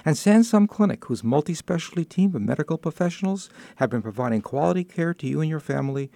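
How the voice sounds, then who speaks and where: A man's voice: a little high, lively intonation, fast, vocal fry, mellow, no echo. A man with a New York accent hosting a radio interview, chatty and joking at his own expense. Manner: chatty, joking, self-deprecating. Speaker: radio host. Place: radio studio.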